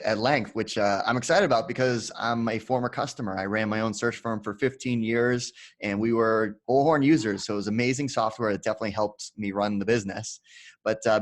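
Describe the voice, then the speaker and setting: A middle-aged man speaks in a moderately low voice as an interviewer. He sets up his questions conversationally, in the plain talk of a business interview.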